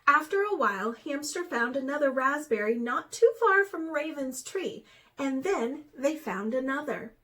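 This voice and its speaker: Woman, high voice, teacher, expressive storybook read-aloud. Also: measured pace.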